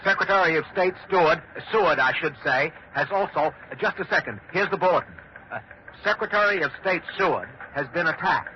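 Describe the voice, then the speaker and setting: A man playing an earnest studio newsman in a 1940s radio drama, delivering bulletins gravely and emphatically. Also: on the high side, animated, brisk, natural voice. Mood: flustered, grave. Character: earnest male studio newsman handling breaking news. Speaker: radio news anchor. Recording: muffled, hissy 1940s radio recording.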